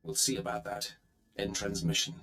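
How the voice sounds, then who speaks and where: A man's voice: fairly low pitch, moderate pace, creaky, fairly bright tone. An actor playing an arrogant, menacing male Sith warrior in a video game, imperious and curt. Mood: curt, commanding. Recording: echoey, roomy sound.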